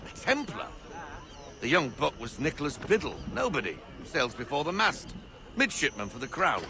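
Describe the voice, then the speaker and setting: Hearty, animated seasoned sailor. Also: male voice, on the high side, normal speed, noisy background. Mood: wry, explaining. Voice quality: salty nautical turns of phrase. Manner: jaunty, bellows orders. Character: hearty, roguish seasoned sailor mentoring a young captain. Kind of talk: video game character acting.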